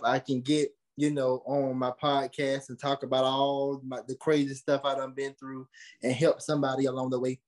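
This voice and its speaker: Interview guest, male, late teens, mid-range pitch, talks casually like a podcaster. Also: a little mellow. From South Carolina, USA. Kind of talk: casual conversational interview answers.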